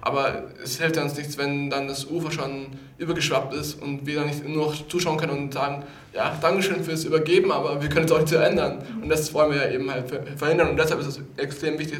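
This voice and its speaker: A man's voice: on the high side, level tone, quick pace. An eighteen-year-old man answering interview questions as a youth council member in an earnest, emphatic manner. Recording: roomy echo.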